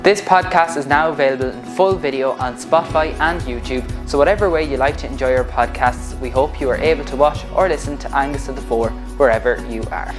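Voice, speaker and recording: A teenager reading a scripted podcast introduction in an even, read-aloud manner. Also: mid-range voice, brisk, hardly any pauses. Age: teens. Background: music.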